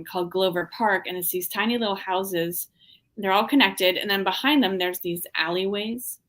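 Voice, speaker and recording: A woman from the Washington, D.C. area, an artist guest in a casual interview, talking reflectively with many ums and pauses. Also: medium pitch, fairly bright tone. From Washington, D.C. area, USA.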